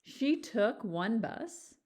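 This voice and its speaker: A woman reading a picture book aloud like a teacher, animated, with chatty asides and questions to young listeners. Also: a little high, very slow. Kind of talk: children's storybook read-aloud with chatty asides.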